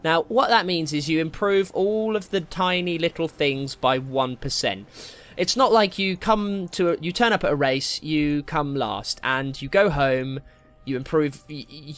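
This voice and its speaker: Gaming host: young British male, bright and a touch high, casual, jokey, rambling commentary. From Britain. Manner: rambling, easily distracted, jokey.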